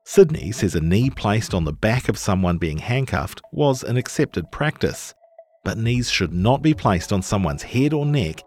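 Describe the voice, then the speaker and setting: Low-voiced New Zealand man, expressive narration. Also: measured pace. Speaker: narrator of a long-read podcast. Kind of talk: scripted journalistic narration.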